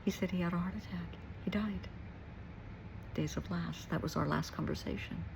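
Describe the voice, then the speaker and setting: A woman's voice: lowish voice, moderate pace, creaky, a little mellow, slight background noise. A middle-aged woman giving a reflective personal talk to listeners, earnest and emphatic, repeating short phrases for weight. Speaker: therapist and nurse.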